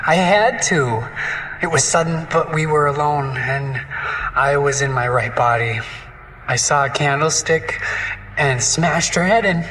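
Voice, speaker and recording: A man's voice: a little high, measured pace, sharp tone, slight background noise, rough recording. An actor playing a haunted, hysterical man in a horror audio drama, frantic and pleading in a melodramatic way. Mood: haunted, confessing. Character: hysterical, haunted man raving about a witch wife.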